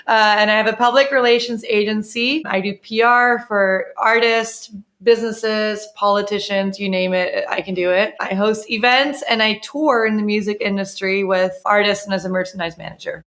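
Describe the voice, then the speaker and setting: A woman's voice: medium pitch, fairly even intonation, moderate pace, a bit bright. A woman from the Netherlands, a guest on an interview show, talking in a chatty, rambling, casual way. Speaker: PR agency owner, guest. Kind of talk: interview conversation.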